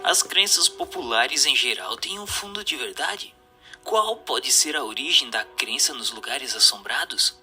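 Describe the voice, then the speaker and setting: A man's voice: medium pitch, expressive, measured pace, sharp tone. A man with a Brazilian accent reading scripted questions as a narrator. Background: music.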